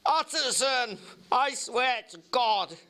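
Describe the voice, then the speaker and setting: A man acts out a feverish gentleman doctor in a dramatic storybook reading. His voice is pushed high and swings about with agitation. He insists fervently, faltering as he tries desperately to convince. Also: animated, slow, exaggerated voice. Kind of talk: dramatic audiobook character reading.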